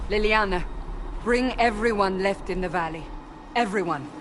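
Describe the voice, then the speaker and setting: A woman's voice: medium pitch, normal speed, noisy background, natural voice. An actress playing a stern, determined woman warrior who leads with urgency in a fantasy game. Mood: commanding. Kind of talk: dramatic fantasy game acting. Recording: music behind the voice.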